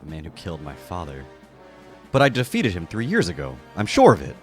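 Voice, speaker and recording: A man's voice: low voice, huge pitch swings, brisk, soft background music. A man voicing a stoic young mercenary leader in game dialogue, blunt, dutiful and terse. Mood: grim, intent. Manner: plain-spoken, terse. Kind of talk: game dialogue read aloud in a fan dub.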